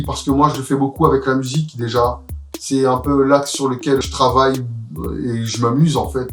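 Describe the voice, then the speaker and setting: A man's voice: medium pitch, roomy sound. A man in his forties from Paris, France, answering casual interview questions about his own creative work. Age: 40s. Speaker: interviewee, musician and graphic artist.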